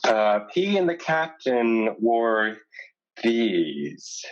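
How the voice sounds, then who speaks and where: Very low, slow pirate officer, played by a woman. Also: very animated, lo-fi sound, heavily acted. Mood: wary. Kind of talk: staged reading of a stage play.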